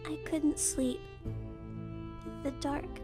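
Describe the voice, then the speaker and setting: A man voicing a timid young filly who is scared of the dark, speaking in a small, pleading voice close to tears. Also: extremely high pitch, little pitch movement, extremely slow, music in the background, pitched above the performer's own voice, full character voice. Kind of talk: fanfic audiobook reading.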